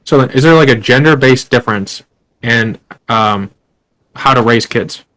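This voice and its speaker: A man's voice: medium pitch, moderate pace, fairly bright tone, poor audio. A young man hosting an interview, asking long, rambling questions with lots of fillers and restarts. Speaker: podcast host. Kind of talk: casual interview.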